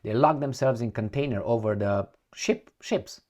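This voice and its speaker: A man's voice: medium pitch, moderate pace, darkish tone. A middle-aged man from Romania with a Romanian accent, ranting as a political commentator, sarcastic and profane and full of rhetorical questions.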